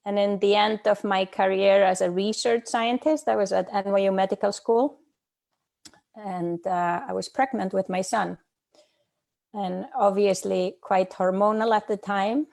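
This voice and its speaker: A middle-aged woman from Iceland speaking as a guest on a video call, thoughtful and hesitant, with many pauses and fillers. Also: mid-range voice, steady tone, vocal fry, a little mellow. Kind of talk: casual personal talk.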